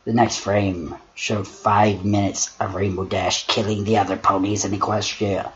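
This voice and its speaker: Solemn male horror-story servant, put on by a man, low and slow, muffled noisy recording. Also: level tone, fairly bright tone, close to an everyday voice. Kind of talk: dramatic horror reading and measured critique. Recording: muffled lo-fi mic with clear noise.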